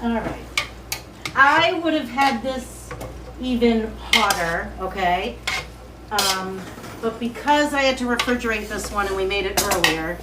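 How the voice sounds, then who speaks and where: A woman with a light Boston accent hosting a live cooking demo from her kitchen, chatty and excitable as she talks to viewers. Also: medium pitch, unhurried, very noisy. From Boston area. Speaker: cooking show host. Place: home kitchen, indoors.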